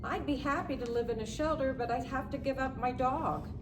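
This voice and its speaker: A woman's voice: high-pitched, a little fast, lightly acted. A woman playing a weary 67-year-old homeless woman in a readers theatre dramatic reading. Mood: resigned, plaintive. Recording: roomy, distant mic, noisy ambience.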